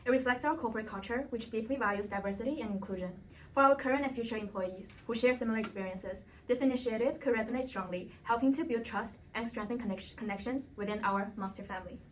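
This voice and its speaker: A woman's voice: on the high side, quick pace, a little hiss or hum, poor audio. A woman presenting a scripted argument as a panelist in a business role play.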